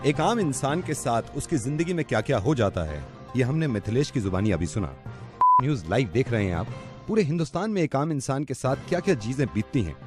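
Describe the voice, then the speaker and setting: A man's voice: mid-range voice, big pitch swings, fairly quick. A man presenting a comedy show in the style of a mock news narration. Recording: loud music bed behind. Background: music.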